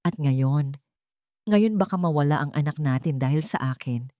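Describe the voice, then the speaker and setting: A woman's voice: deep voice, expressive, moderate pace, dark tone, dry recording, clean studio sound. Woman voicing a remorseful, pleading young CEO father. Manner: pleading, anguished. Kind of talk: Tagalog radio drama storytelling.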